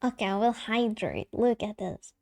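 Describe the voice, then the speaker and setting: A woman's voice: a little high, normal speed, creaky, a little mellow. A young woman streamer with a light soprano voice, chatting casually and giggling, with lots of fillers and restarts. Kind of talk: casual live-stream chat.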